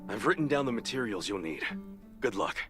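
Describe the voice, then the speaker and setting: A man's voice: mid-range voice, animated, a little fast, loud music behind the voice, natural voice. A man voicing a weary male shelter patroller who gives matter-of-fact warnings. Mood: serious.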